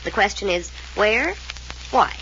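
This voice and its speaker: A woman's voice: mid-range voice, expressive, a little slow, a bit bright, a little hiss or hum. An actress playing a flippant, sardonic young woman from a scheming wealthy family in a 1940s radio drama. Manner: sardonic and teasing. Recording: dull old radio transcription.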